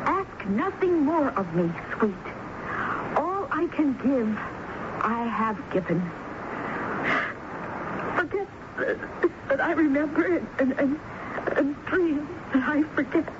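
An actress playing a lovelorn, defiant jilted woman confessing in a 1970s radio drama, with halting, dramatic delivery. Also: sits high, animated. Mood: dreamy, wistful. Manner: dramatic, halting, repeats words for emphasis. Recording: dull, noisy 1970s radio broadcast recording.

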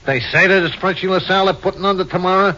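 An actor playing a folksy, philosophical saloon keeper, wry and streetwise, in a 1950s radio drama. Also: male voice, high voice, fairly even intonation, quick pace, bright, forceful tone. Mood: skeptical, matter-of-fact. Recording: muffled old radio recording.

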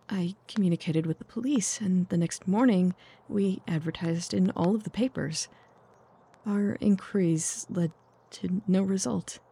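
A woman's voice: on the low side, warm tone, breathy edge. A woman playing a composed but anxious young Victorian lady in an audio drama, speaking formally and earnestly. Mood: sorrowful.

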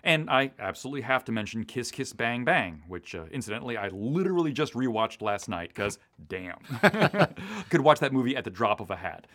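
A man's voice: mid-range voice, big pitch swings, normal speed, fairly bright tone. A man from the US East Coast talking casually and in detail as a writer being interviewed, in an enthusiastic, digressive way. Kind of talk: casual, detailed behind-the-scenes chat.

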